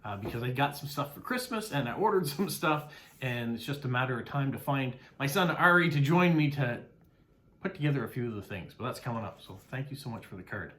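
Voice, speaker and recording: A man's voice: mid-range voice, expressive, fairly quick. Chatty man opening postcards. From Canada. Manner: chatty, warm thanks, frequent "uh" fillers. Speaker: postcard vlogger and mail carrier. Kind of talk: casual unboxing chat.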